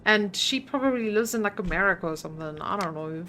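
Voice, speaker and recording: A woman's voice: mid-range voice, expressive, fast talker, a bit bright, faint music behind. A woman streamer casually commenting on her game, muttering to herself while solving puzzles and chatting with viewers.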